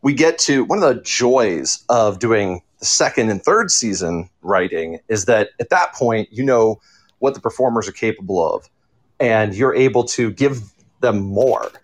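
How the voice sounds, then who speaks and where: A man's voice: fairly low pitch, animated, measured pace. A man talking casually as a writer on a discussion panel. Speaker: audio drama writer and panelist.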